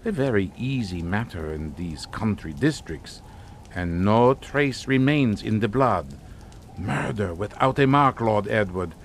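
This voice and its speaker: An actor playing a menacing, scornful older Hungarian count in a radio suspense drama, speaking in a formal, taunting, theatrical manner. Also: male voice, fairly low pitch, expressive, unhurried, a little hiss or hum. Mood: coolly menacing.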